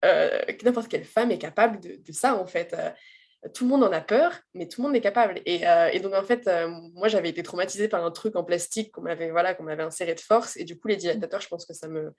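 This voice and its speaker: A woman giving a personal testimonial as a guest, speaking candidly and informally in a halting, emphatic way. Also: fairly low pitch, darkish tone. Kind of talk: casual personal storytelling.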